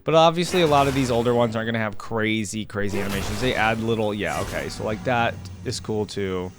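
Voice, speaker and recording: A man's voice: lowish voice, fast, over music. A man giving casual, informal reaction commentary, rambling along as he talks straight to his viewers. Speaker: reaction video host.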